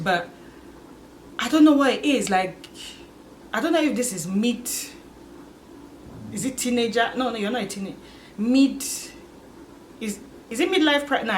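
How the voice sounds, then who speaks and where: A woman in her twenties talking candidly to her channel, musing aloud and filling pauses with "like" and "you get it?". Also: on the high side, lively intonation, slight background noise. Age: 20s. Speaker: vlogger. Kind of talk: casual confessional vlog.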